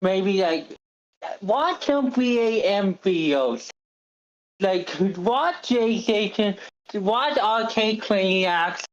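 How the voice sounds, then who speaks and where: A woman giving casual, enthusiastic feedback in a halting way, over dull online meeting audio. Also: mid-range voice, animated. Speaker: table read participant giving feedback. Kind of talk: casual chat.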